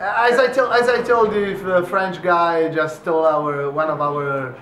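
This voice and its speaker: A man's voice: fairly high pitch, fairly even intonation, measured pace, fairly bright tone. A man from Florence with an Italian accent, a guest artist talking loosely with hesitations and jokes. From Florence, Italy. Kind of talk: casual interview. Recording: echoey room with faint noise.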